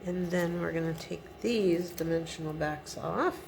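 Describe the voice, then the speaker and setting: A woman's voice: fairly low pitch, measured pace, gravelly, background noise. A middle-aged woman giving a chatty step-by-step card-making tutorial at her home craft desk, sniffly from a cold. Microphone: overhead camera above the desk.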